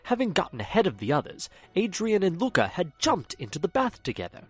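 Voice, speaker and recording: A man's voice: sits high, wildly swooping pitch, a little fast, husky, soft background music. A man narrating an audio drama, a fussy storyteller who gets indignant when interrupted. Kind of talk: scripted narration.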